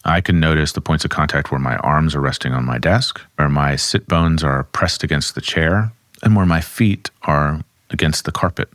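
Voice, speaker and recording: Very deep-voiced man guiding body exercises. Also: normal speed, no room sound. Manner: calm, instructive, speaks while demonstrating. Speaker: somatic therapist guest. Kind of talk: guided somatic exercise explanation.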